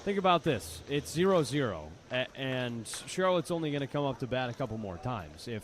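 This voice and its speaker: A man's voice: mid-range voice, big pitch swings, a little fast. A man giving live, conversational baseball analysis as a color commentator, often repeating words as he reasons. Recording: faint outdoor ambient noise behind. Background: outdoor ballpark ambience.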